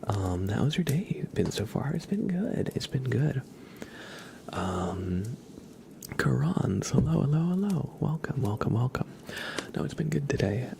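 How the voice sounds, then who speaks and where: A man hosting an ASMR livestream, speaking softly in a murmur that often slips into whispers. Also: mid-range voice, big pitch swings, a little slow, creaky, background noise. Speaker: livestream host. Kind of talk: soft-spoken ASMR livestream.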